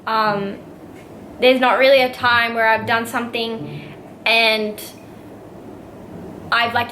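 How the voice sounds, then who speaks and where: A woman's voice: on the high side, a bit bright, a little hiss or hum, poor audio. A nineteen-year-old Australian woman answering viewer questions in a casual vlog, giggly and bubbly, full of "like" and fillers. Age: young adult, nineteen. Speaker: vlogger. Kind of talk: casual Q&A to camera.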